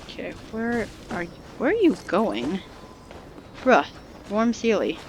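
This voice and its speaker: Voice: medium pitch, very animated, slow, slight background noise. A video game streamer giving casual gameplay commentary in short muttered exclamations, with game music behind.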